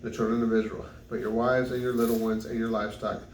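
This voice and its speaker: A man reads scripture aloud in a flat, even tone, stumbling now and then over hard names and correcting himself. His voice is fairly low and sounds distant in an echoey room. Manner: halting on unfamiliar names, self-correcting. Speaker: Bible reader. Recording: echoey room, distant mic, faint noise.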